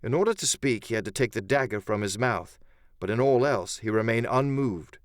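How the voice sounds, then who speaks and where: A man's voice: mid-range voice, animated, normal speed, dry recording, studio quality. A man narrating an adventure audiobook in the first person, with theatrical, literary storytelling.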